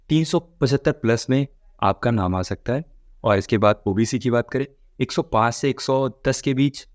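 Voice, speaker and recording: Low-voiced man explaining exam updates. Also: dry recording, clean studio sound. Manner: explanatory, addressing viewers directly. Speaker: exam-update video presenter. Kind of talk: informal explanatory commentary on exam results.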